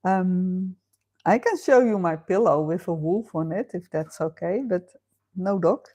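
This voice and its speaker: A woman's voice: fairly low pitch, measured pace, dark tone. A woman with a Dutch accent hosting a casual live chat from home, reading out viewer comments and chatting with many fillers and laughs. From Netherlands. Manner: chatty, laughs often, thinks aloud with fillers. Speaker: livestream host.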